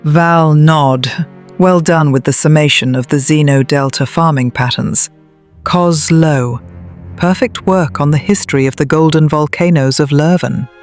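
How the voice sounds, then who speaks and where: Woman as a slow-spoken alien schoolteacher. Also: low-pitched, a little mellow, soft background music. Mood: approving, puzzled. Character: encouraging, exacting alien schoolteacher addressing children. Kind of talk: motion comic acting.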